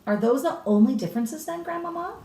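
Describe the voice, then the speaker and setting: Woman playing an eager, incredulous child, swooping pitch. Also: lively intonation, quick pace, gravelly, warm tone, slight background noise. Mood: curious. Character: curious, fascinated young grandchild questioning grandmother. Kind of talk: dramatic children's storybook reading. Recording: roomy echo, distant mic.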